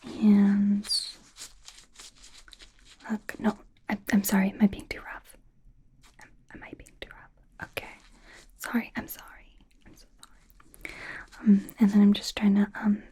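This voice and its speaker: Casting: woman as female werewolf doctor for ASMR roleplay; soft mellow whisper, awkward, curious, apologetic, unhurried. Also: mid-range voice, monotone. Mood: flustered, apologetic. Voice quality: whispered, breathy. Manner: hesitant, halting, softly reassuring. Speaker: ASMR performer.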